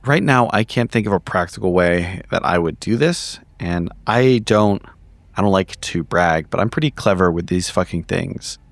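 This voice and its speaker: A man's voice: deep voice, lively intonation, normal speed, creaky, no echo. A man playing a tabletop roleplaying game, improvising in character with casual, sweary, analytical talk.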